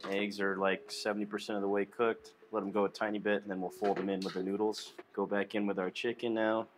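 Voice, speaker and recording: A young man talking viewers through a recipe in a small studio kitchen set, relaxed and chatty, with music playing underneath. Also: fairly low pitch, fairly quick, slight background noise. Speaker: cooking vlogger. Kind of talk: casual cooking demonstration. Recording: clear background music under voice.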